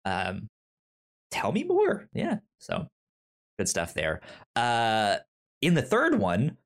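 A man co-hosting a podcast, talking thoughtfully in a casual, halting way with many restarts. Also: fairly low pitch, huge pitch swings. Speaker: podcast co-host. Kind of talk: casual chat.

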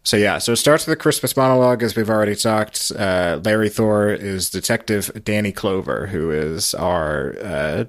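Low-voiced man hosting a casual podcast. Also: no room sound, studio quality. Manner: halting, conversational, hedging. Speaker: podcast host. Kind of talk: casual recap podcast talk.

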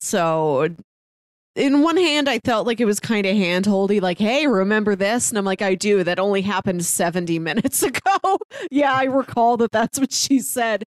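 Woman podcaster chatting about movies, casual and giggly, mid pitch, really dry close sound. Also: lively intonation, moderate pace, no echo. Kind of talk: casual film discussion.

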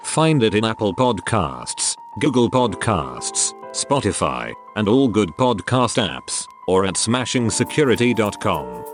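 A man reading a podcast promo in a brisk, playful scripted way. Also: lowish voice, very animated, faint music behind. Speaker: promo announcer.

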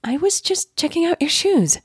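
A woman acting a flustered, high-voiced smitten woman. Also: big pitch swings, no echo, studio quality. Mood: nervous, flustered. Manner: nervous, halting, stammering repeats. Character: flustered, smitten woman, awkwardly rambling and eager to please. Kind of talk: romantic audio drama.

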